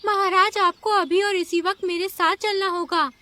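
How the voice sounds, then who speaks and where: A woman's voice: very high voice, fairly even intonation, moderate pace, sharp tone. A woman voicing a small, innocent young animal in a children's cartoon, with an earnest, childlike, pleading manner. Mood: insistent. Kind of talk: Hindi children's cartoon voice acting.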